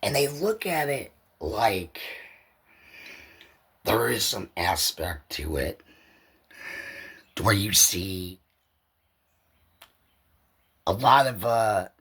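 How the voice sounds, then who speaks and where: A man's voice: lowish voice, expressive, extremely slow, pauses often, pushed and bright, breathy edge. A man hosting a podcast, talking in a casual, rambling solo commentary that loosely circles back over points. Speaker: podcast host.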